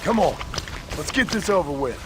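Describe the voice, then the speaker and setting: An actor playing a gruff, weathered ex-outlaw rancher and father in a Western game, with an American frontier drawl and wry, plain-spoken manner. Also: on the high side, expressive, moderate pace, noisy background, lightly acted. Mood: encouraging, wry. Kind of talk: video game cutscene acting.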